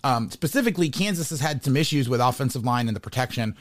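A man's voice: mid-range voice, expressive, quick pace. An American man hosting a casual sports interview, rambling and repeating words as he thinks aloud. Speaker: podcast host interviewing a sports guest.

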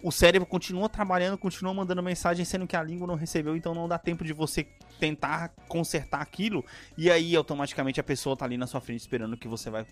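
A man's voice: a little high, fairly even intonation, fast, hardly any pauses. A man co-hosting a casual podcast, rambling in slangy chat with lots of fillers. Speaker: podcast co-host. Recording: faint music behind.

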